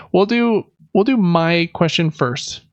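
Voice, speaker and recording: A man's voice: high-pitched, lively intonation, takes it slowly, dry recording, muffled recording. A man hosting a casual board game podcast, chatting and explaining things in a conversational way. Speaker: podcast host.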